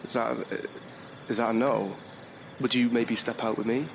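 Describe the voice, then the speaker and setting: A man plays a shy young suitor in an audio drama. He is earnest and lovesick, pleading in halting, awkward phrases at a medium pitch. Clear outdoor street noise surrounds him. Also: fairly even intonation, close to an everyday voice. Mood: pleading, hurt. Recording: noisy outdoor street ambience behind.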